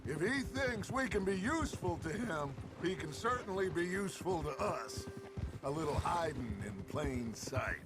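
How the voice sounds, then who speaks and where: Middle-aged western gang leader, confident, teasing, high animated voice, game cutscene, noisy outdoors. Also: male voice. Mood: sly. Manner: theatrical, unhurried, teasing. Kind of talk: cinematic western game acting. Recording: clear outdoor ambience noise behind.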